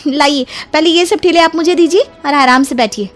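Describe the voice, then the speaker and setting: A woman's voice: high-pitched, moderate pace, voice pushed up, natural voice. A woman playing a polite, inquisitive young daughter-in-law in an acted moral story, with an eager, respectful manner. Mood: excited, curious.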